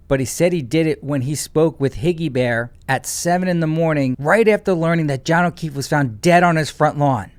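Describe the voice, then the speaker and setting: A man giving opinionated reaction commentary on a trial as a lawyer, in a blunt and mocking manner. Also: on the high side.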